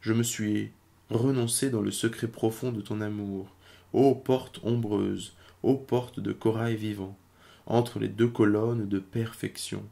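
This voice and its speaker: Male amateur poetry reader: low, creaky, solemn and measured delivery. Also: flat delivery, warm tone.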